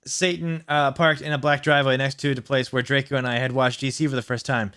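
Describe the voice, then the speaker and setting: A man's voice: mid-range voice, level tone, fast talker, a bit bright, smooth, no echo, clean studio sound. A man hosting a read-aloud of fan fiction, delivering it theatrically with exclaimed quotes and mocking asides of his own. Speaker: host reading fan fiction aloud as a challenge.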